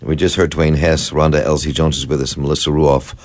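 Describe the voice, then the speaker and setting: A man's voice: a bass voice, little pitch movement, brisk. A man hosting a call-in radio talk show, rambling and restarting his sentences as he works toward his questions. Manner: rambling, repeats words while searching for a point. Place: radio studio.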